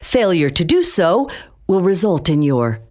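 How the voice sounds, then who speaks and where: A woman's voice: lowish voice, sing-song, a little slow, a little mellow. An actress voicing a stern female government agency director in a video game, blunt and intimidating, giving orders over radio-style briefings. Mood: commanding. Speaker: game character. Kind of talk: game voice lines.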